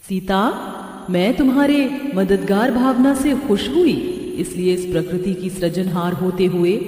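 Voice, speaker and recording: A woman's voice: mid-range voice, expressive, a little slow, dark tone, echoey room, in a large hall, natural voice. A woman voicing a benevolent, solemn goddess in a cartoon story, declaiming formally. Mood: solemn, gracious.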